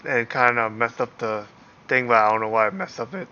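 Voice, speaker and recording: A man's voice: mid-range voice, brisk, bright, forceful tone, muffled recording, slight background noise. A man chatting casually on a game livestream, rambling and thinking aloud. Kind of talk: casual livestream chat and reactions. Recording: game music behind.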